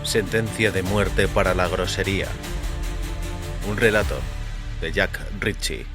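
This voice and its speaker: Audio fiction narrator: man, low register, grave and deliberate, music bed behind. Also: steady tone.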